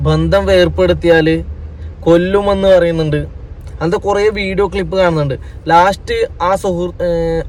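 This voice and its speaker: A man's voice: sits high, slight background noise, low-quality recording. A man with a Kerala Malayalam accent giving unscripted news commentary, addressing people directly and admonishingly with repeated points. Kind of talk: unscripted opinion commentary to camera.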